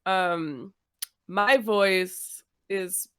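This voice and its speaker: A young woman co-hosting a casual podcast chat, with a low, jazzy, smoky voice. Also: very slow, a bit bright, clear, resonant tone, no echo, studio quality. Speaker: podcast co-host.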